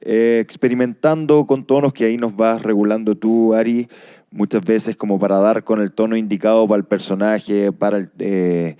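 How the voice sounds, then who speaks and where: A man's voice: on the low side, steady tone, normal speed, mellow, clear, resonant tone, no room sound, clean studio sound. A Chilean man speaking casually and thoughtfully as an actor on a discussion panel. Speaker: radio drama actor on a panel.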